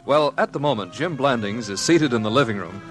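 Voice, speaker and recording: A man's voice: medium pitch, expressive, a little fast, music in the background. A man as announcer, narrating a scripted 1950s radio show on an old broadcast recording.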